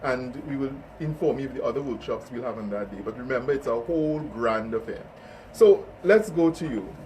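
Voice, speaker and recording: A man's voice: medium pitch, expressive, fairly quick. A man, hosting an informal interview and speaking as an organiser. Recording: distant mic, faint background noise.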